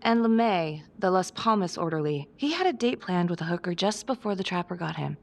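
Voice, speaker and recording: A woman's voice: on the low side, animated, brisk, vocal fry. A woman playing a tough, hard-boiled police detective with a blunt, terse, impatient way of talking in a dramatic video game. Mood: businesslike.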